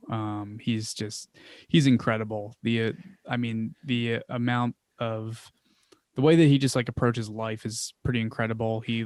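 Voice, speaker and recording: A man's voice: on the low side, no room sound. Philly-accented man, chatty guest. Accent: Philadelphia. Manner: chatty, many fillers and restarts, trails off quietly. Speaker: podcast guest, founder. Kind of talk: casual interview answers.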